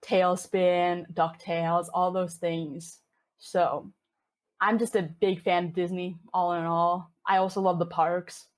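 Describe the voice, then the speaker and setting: A woman's voice: lowish voice, level tone, slow pace. A woman in her twenties from Hong Kong, chatting with enthusiasm as a podcast guest, with plenty of fillers. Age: 20s. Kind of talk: casual interview chat.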